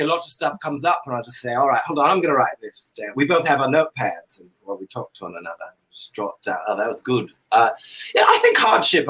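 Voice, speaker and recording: A man's voice: mid-range voice, sharp tone, low-quality recording. A man from Canada chatting comically as a talk show guest in an affected, theatrical dandy persona, with hesitant phrasing. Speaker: drag comedy performer, talk show guest. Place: indoor room at home, on a video call. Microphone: video call.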